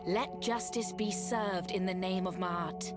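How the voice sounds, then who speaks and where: An actress playing a pompous, solemn eldest daughter, an immortal guardian of justice in a video game. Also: female voice, mid-range voice, fairly even intonation, slow, loud music behind the voice, natural voice. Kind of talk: video game character acting.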